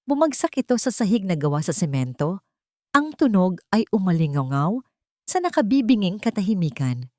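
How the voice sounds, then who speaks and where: A woman's voice: low voice, mellow, no room sound, clean studio sound. A woman narrating a scripted romantic drama as a storyteller, in a dramatic reading style.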